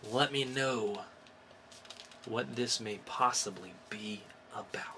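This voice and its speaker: A man's voice: mid-range voice, takes it slowly, background noise. A man co-hosting a podcast, chatting casually and explaining things about comics in an informal way. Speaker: podcast co-host reviewing comics. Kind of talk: casual chat.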